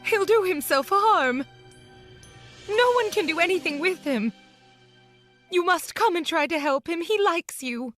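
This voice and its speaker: A man's voice: extremely high pitch, lively intonation, a little slow, long pauses, bright, forceful tone, soft background music, voice pushed up, heavily acted. A man voicing an anxious, breathless nurse in a storybook reading. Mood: panicked.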